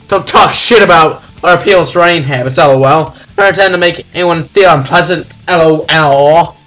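Smug young forum troll played by a man, taunting game character, bright and higher-pitched. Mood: mocking, smug. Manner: mocking, drawn-out spelled-out laughing acronym. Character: smug, taunting internet troll, first-year student.

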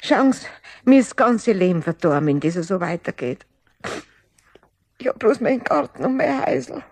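Worried Bavarian garden owner, plaintive and pleading, very animated intonation, dry radio play sound. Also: female voice, medium pitch, normal speed, slightly rough, no echo.

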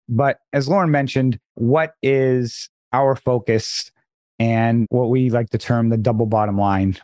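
Man, podcast co-host, mid-pitch, a little froggy, steady and explanatory, close dry sound. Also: no room sound. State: slightly froggy voice. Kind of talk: casual podcast chat.

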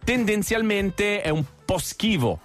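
A man hosting a radio interview in a studio, talking in halting phrases with many restarts. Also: high-pitched, expressive, faint music behind. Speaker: radio host.

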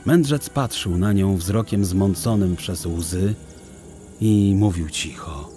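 Slow, low-voiced male storyteller. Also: mellow, faint music behind. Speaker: narrator. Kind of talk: dramatic literary storytelling, scripted narration.

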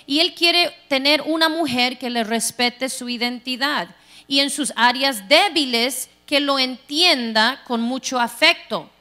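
A middle-aged woman pastor from Texas preaching a sermon on a large church stage into a handheld mic, animated and playful, acting out quoted voices. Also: a little high. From Texas, United States. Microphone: handheld microphone.

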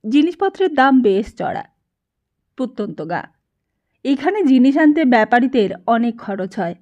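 A woman with a fairly high voice narrates an audiobook story. Her delivery is expressive and scripted, in a storytelling style. The recording sounds a little dull and lo-fi. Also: normal speed.

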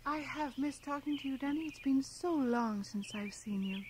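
An actress in a radio drama playing a gentle, serene, slightly strange village wife, speaking softly and kindly. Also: female voice, high voice, normal speed, noisy background, close to an everyday voice. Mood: kindly, wistful. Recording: home cassette transfer, music underneath.

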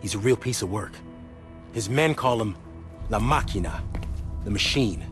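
An actor playing a wry, earnest intelligence contact in a video game, briefing a soldier in a conspiratorial, colloquial way. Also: male voice, lowish voice, lively intonation, moderate pace, music in the background, natural voice. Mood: grim, intent. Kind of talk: video game cutscene dialogue.

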